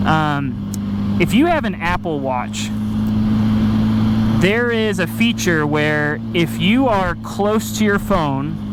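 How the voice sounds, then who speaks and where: A man telling a personal travel story in a casual, conversational way, acting out quotes with emphatic pauses. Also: high-pitched. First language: English. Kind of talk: animated first-person storytelling, casual chat. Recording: noisy outdoor recording with vehicle sounds.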